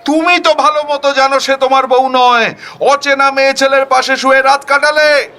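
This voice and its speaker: Man putting on a shrill, scolding angry wife. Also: flat delivery, normal speed, faint music behind, exaggerated voice. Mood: scornful, furious. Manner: scolding, sharp, accusing. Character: angry, suspicious wife scolding her husband, shrill and scornful.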